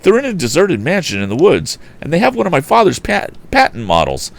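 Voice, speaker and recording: Storybook hero played by a man: resourceful young inventor, earnest, determined, boyish, lively swings in pitch. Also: fairly quick, vocal fry, exaggerated voice. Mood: urgent, pleading. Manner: boyish exclamations, thinking aloud. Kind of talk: storybook character voice.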